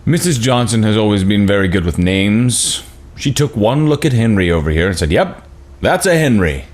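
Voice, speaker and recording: A man's voice: fairly low pitch, very animated, moderate pace. An actor reading a cheery, pious father at a family dinner, with a hearty, folksy delivery. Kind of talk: table read of a screenplay.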